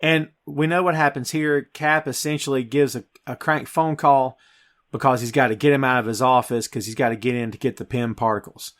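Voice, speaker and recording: A man with a mid-range voice hosts a movie review podcast. He speaks emphatically and excitably, stressing his points and addressing his listeners directly. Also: medium pitch, a little fast. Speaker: podcast host. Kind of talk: film review podcast talk.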